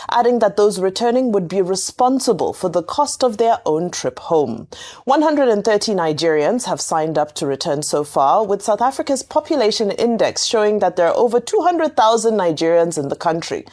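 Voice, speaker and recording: A woman's voice: mid-range voice, a little mellow. A woman presenting a TV news show, reading reports and viewer reactions in broadcast style. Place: TV studio.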